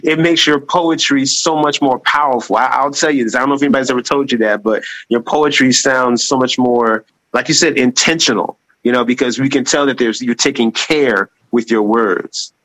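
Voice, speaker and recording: A man's voice: mid-range voice, normal speed, hardly any pauses, a bit bright, no echo, low-quality recording. A man hosting a radio interview, talking casually, restarting and repeating words often while encouraging his guest. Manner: encouraging, complimentary toward guest. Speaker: radio host.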